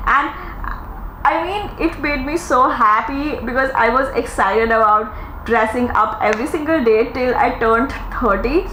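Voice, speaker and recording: A woman in her thirties talks openly in a casual podcast monologue, earnest and chatty, her voice sitting a little high. She pops into lively quoted asides now and then. The room rings with echo under a faint hiss. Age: 30s. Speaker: podcast host. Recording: echoey room with faint noise.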